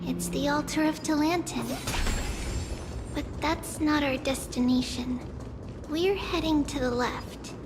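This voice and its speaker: High-voiced, solemn young seer. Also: female voice, takes it slowly, background noise. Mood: calm, wistful. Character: solemn young female seer guiding visitors through memories. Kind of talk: video game voice acting, story narration. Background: game music.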